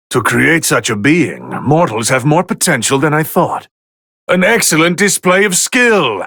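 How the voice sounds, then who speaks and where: A man playing a haughty, bored god of destruction who commentates on video game fights in a condescending, sardonic, theatrical drawl with a gravelly edge. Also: fairly high pitch, pushed and bright, dry recording, studio quality, heavily acted. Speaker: match commentator.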